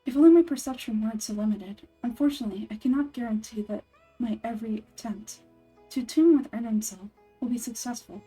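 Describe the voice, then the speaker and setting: An earnest, scholarly young woman from a fantasy game acted by a woman. She speaks in measured, formal sentences with a fairly high, mellow tone. Soft music sits behind the voice. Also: slightly rough, poor audio. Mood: earnest, wistful. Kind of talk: video game dialogue read-through.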